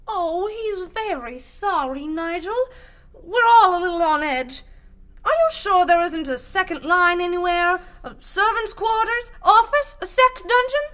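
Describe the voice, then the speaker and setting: A woman's voice: very high-pitched, measured pace, sharp tone, full character voice. A woman playing a ditzy, dramatic mystery heroine in a comic old-time radio melodrama, gushing as she speaks. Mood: concerned, prim. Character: dramatic, ditzy, always-hungry 1940s-style mystery heroine.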